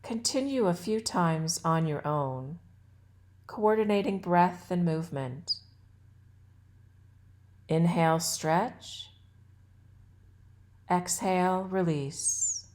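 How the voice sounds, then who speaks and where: A woman guiding a yoga nidra relaxation as an instructor, with soothing, measured and deliberate phrasing. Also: on the low side, darkish tone. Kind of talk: guided meditation and relaxation instruction.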